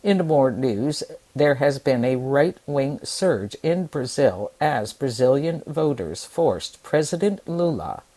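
A woman news anchor reading scripted radio headlines in a measured, formal delivery. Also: extremely low pitch, lively intonation, warm tone, low-quality recording.

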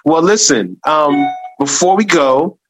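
Man, radio host, halting and repetitive, dry close sound, encouraging. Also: fairly high pitch, expressive, dry recording. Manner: halting, repeats words and restarts often; encouraging, complimentary toward guest. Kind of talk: casual interview.

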